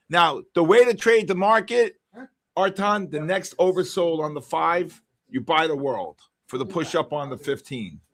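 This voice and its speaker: A man with a Bronx accent hosting live market commentary, loud, exclamatory and wisecracking. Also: a little high. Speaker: trading show host.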